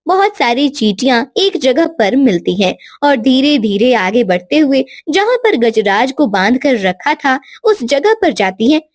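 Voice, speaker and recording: A woman's voice: sits high, very animated, unhurried, few pauses, no echo. A woman giving scripted narration for a children's moral story.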